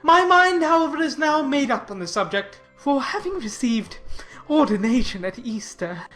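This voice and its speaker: A woman reading a pompous, obsequious clergyman's letter aloud in a dramatized audiobook, in a formal and deliberate manner. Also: sits high, expressive, fairly bright tone, soft background music.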